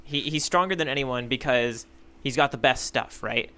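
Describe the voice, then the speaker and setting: Clear, bright male podcast co-host. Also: medium pitch, moderate pace. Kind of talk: casual chat reacting to a story.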